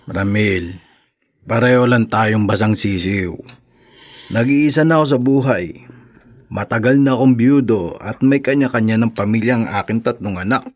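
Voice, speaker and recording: An actor playing a lonely, consoling older widower in a Filipino radio drama, speaking plainly and companionably. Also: male voice, fairly low pitch, moderate pace, no echo, clean studio sound, natural voice.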